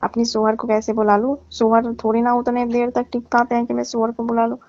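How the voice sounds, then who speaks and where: A woman's voice: fairly high pitch, completely flat delivery, a little fast, darkish tone, no room sound, soft background music, natural voice. A woman playing a flirtatious, needy married aunty in a staged phone-call skit, pleading and complaining suggestively. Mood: frustrated, pleading. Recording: dull, lo-fi call recording.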